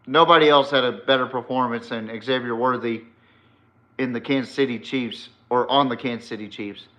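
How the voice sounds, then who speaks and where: A man delivers blunt, opinionated sports commentary as a podcast host. His voice is forceful and sharp at a middle pitch, and he takes his time, leaning on his points. The recording sounds a little dull and lo-fi. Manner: blunt, emphatic, swears casually.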